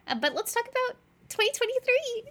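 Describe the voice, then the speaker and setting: A woman's voice: very high voice, big pitch swings, fairly quick, fairly bright tone. A woman podcast co-host chatting casually in a rambling way, saying "like" often. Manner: chatty, rambling, laughs lightly, uses "like" a lot. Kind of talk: casual chat.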